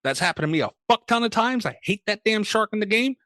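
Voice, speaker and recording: A man's voice: high-pitched, expressive, fast talker, pushed and bright, dry recording, clean studio sound. A man hosting a podcast, chatting casually and enthusiastically with plenty of swearing. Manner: enthusiastic, foul-mouthed. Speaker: podcast host. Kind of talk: casual profane banter.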